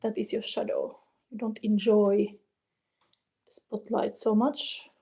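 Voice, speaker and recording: Woman, Finnish accent, mellow relaxed tone, medium pitch, halting unscripted delivery, live tarot reader chatting with viewers. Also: creaky, breathy edge. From Finland. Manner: halting, unscripted, thinking aloud in non-native English. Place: warm indoor room. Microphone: phone.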